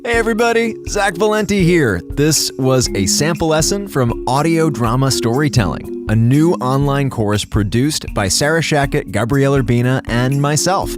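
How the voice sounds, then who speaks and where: Music plays clearly behind a man with a medium-pitched voice. He addresses viewers as a presenter, giving a promotional sign-off for a course. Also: lively intonation, normal speed, hardly any pauses.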